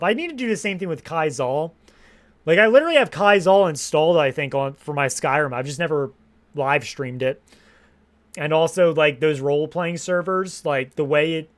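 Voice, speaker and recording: Rambling, swearing young male streamer. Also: on the high side. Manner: rambling, restarts sentences, swears casually. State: slightly hoarse from long streaming. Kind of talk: casual live stream chat.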